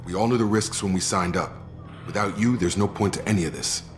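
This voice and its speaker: Game role: actor as a deep-voiced, relaxed and loyal operative, with music under the dialogue. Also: male voice, brisk, lightly acted. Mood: earnest. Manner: relaxed, informal, supportive. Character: loyal male operative, easygoing and earnest. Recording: game audio with music score.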